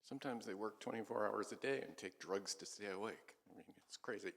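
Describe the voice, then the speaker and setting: A man making emphatic, deliberately phrased points in a casual group discussion. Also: fairly low pitch, vocal fry. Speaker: class participant. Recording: reverberant hall.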